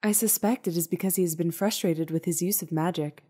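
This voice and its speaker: A woman voicing a gentle motherly goat monster in a comic dub, speaking formally, precisely and earnestly. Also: fairly low pitch, fairly quick, husky. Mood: concerned.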